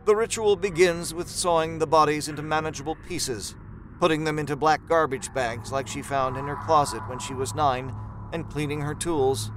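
A man's voice: fairly high pitch, steady tone, moderate pace, fairly bright tone, a little hiss or hum, poor audio. A man narrating a horror story from a script.

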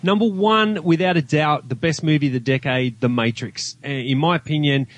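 Higher-voiced Australian man, emphatic and chatty. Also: normal speed, no room sound. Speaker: guest podcaster. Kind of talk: casual film discussion.

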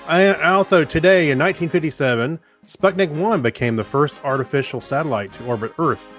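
A man's voice: on the high side, a little fast, a bit bright, soft background music. A man co-hosting a podcast, chatting casually and reading items aloud. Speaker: podcast co-host.

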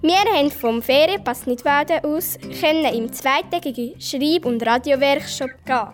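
Girl, 10 to 12, high-pitched, unhurried scripted story reading, music behind. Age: child, 10 to 12. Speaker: young workshop participant reading own story. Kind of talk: scripted reading for a radio play.

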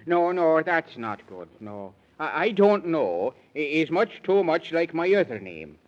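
A man's voice: on the high side, sing-song, measured pace. An actor voicing a whimsical, fretful lion king in a 1930s children's radio play, with a dithering, theatrical delivery. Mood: woeful, dithering. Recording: muffled vintage 1930s radio recording.

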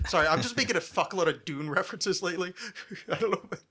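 A man's voice: high-pitched, expressive, fast talker, sharp tone, slightly rough. A man in his thirties chatting casually and ramblingly as a podcast host, with a wry, mock-dramatic, self-deprecating manner.

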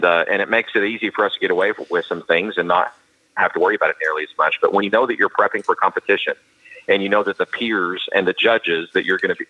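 Baritone man, earnest, muffled sound. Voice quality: baritone voice that carries. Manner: earnest, explains at length with frequent restarts. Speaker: professional auctioneer, guest. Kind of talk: interview answers. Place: studio.

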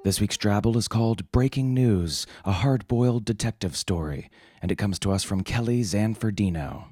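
A man's voice: fairly low pitch, normal speed, dark tone, dry recording. A man hosting a podcast, reading scripted comic satire and introductions in a wry, theatrical way. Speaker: podcast host.

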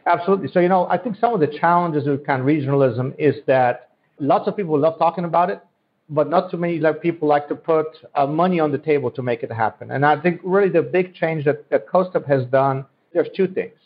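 Man, from Central Europe, native Polish speaker, earnest promotional podcast guest, repeats phrases, slightly high voice, lo-fi. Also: a little fast. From Central Europe, born in Poland, raised in Germany. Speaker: economic development guest. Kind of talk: podcast interview answers. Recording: dull, lo-fi audio.

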